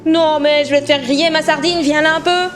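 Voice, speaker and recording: Woman playing a very high-pitched, indignant young nymph. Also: fairly even intonation, fairly quick, over music, lightly acted. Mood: jealous rage. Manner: indignant, theatrical. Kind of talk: amateur audio drama acting.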